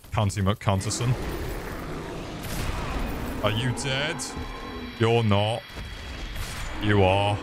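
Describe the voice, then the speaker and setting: A young man streaming a game, swearing and joking sarcastically as he plays. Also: fairly low pitch. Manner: sweary, sarcastic, crude jokes. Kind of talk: swearing live gameplay commentary.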